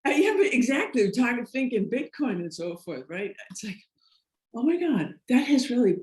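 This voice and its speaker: A woman's voice: a little high, sing-song, normal speed, darkish tone. A middle-aged American woman, a podcast guest, talking casually and rambling with frequent laughs and restarts. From United States. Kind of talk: casual interview storytelling. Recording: roomy echo.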